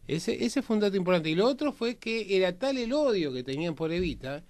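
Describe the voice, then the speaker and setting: An Argentine man giving conversational historical commentary as a panelist, often pausing mid-phrase. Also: high voice, big pitch swings, a little mellow.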